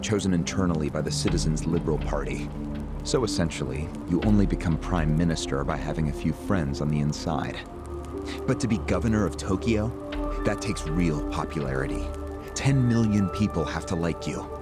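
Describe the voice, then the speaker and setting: A smug, low-voiced political schemer. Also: male voice, lively intonation, fairly quick, over loud music. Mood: smug, lecturing. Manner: smug, explanatory, chuckling. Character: smug, calculating male political schemer and activist leader. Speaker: character in a crime drama video game. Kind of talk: dramatic video game cutscene acting.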